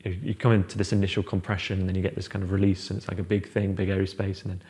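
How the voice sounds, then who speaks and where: A young man with a low, gravelly voice talks in a relaxed, rambling way, full of fillers and restarts. He sounds like an architect explaining his design in a big open room of a house. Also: racing. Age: young adult. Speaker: architect guest. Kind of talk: casual chat, house tour. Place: large open-plan house living room, indoors.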